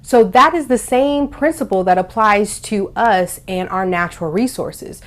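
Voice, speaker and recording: A woman's voice: mid-range voice, unhurried. A woman hosting a children's storytime, explaining and reading aloud expressively, stressing and stretching key words for young listeners. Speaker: host of a children's storytime show.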